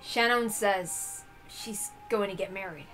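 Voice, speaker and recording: This is a woman acting a sullen teenage boy in service, shy and earnest in a story. He speaks quietly and hesitantly, dropped low and soft. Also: takes it slowly, music in the background, close to an everyday voice. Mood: somber, confessional.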